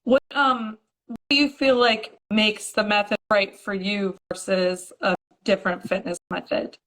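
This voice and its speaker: A woman hosting an interview series, upbeat and brisk as she asks her guest questions. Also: mid-range voice, steady tone, fairly bright tone, poor audio. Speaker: podcast host.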